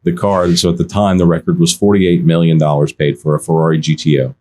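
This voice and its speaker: A man who works in car auctions, telling stories in a relaxed podcast chat, often restarting his sentences and quoting other people. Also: deep voice, fast talker. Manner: animated anecdotes, many restarts, quotes others. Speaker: auction insider guest. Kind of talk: casual podcast storytelling.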